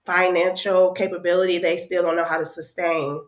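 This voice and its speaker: A woman with a Midwest American accent and a stuffed-up cold voice answers interview questions. Her voice sits on the low side for a woman, and she explains things steadily and kindly, pausing on "um". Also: level tone. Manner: friendly, explanatory, with "um" fillers. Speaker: housing counselor, podcast guest. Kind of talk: casual interview talk.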